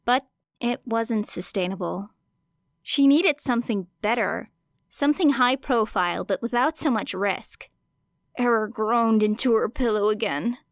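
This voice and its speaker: A woman reading fiction aloud as an audiobook narrator, in an expressive storytelling style. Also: fairly high pitch, unhurried, no room sound, studio quality. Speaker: audiobook reader of fan fiction.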